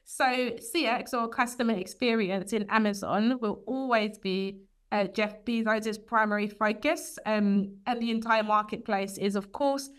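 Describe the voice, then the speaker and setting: A woman's voice: medium pitch, fairly bright tone. A woman hosting a podcast interview, asking questions in a conversational style. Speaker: guest host interviewer.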